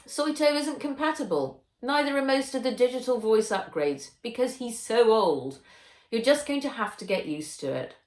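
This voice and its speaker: A woman's voice: a little high, animated, normal speed, a little mellow, pitched above the performer's own voice, close to an everyday voice. A woman voicing a frank twelve-year-old girl heroine in a children's audiobook, matter-of-fact and exasperated. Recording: roomy echo.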